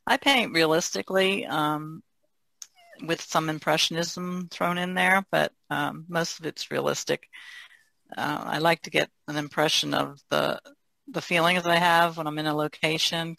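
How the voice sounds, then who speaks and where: Bright, creaky-voiced woman chatting. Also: deep voice, level tone, moderate pace, dry recording. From Chesapeake Bay region, United States. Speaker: painter being interviewed. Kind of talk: casual chat.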